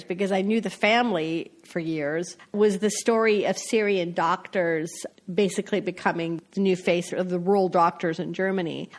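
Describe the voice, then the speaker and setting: A middle-aged woman, a foreign correspondent, chatting casually as a podcast guest and laughing often between her points. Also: medium pitch. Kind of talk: casual interview chat.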